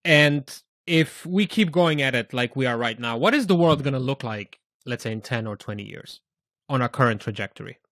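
A man's voice: mid-range voice, lively intonation, normal speed, fairly bright tone, dry recording, studio quality. A man from Slovenia interviewing a guest, asking questions in a personal, conversational way.

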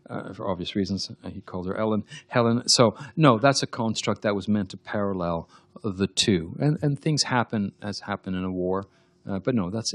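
A man's voice: lowish voice, dry recording, clean studio sound. A Quebec-born novelist with French as his first language, talking thoughtfully in a radio interview with frequent restarts. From Quebec, Canada. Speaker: novelist guest.

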